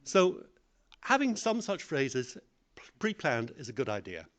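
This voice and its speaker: Animated man giving a conference talk. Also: medium pitch, measured pace. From English-speaking country. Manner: animated, self-deprecating, plainly phrased. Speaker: conference speaker. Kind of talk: lecture on public speaking.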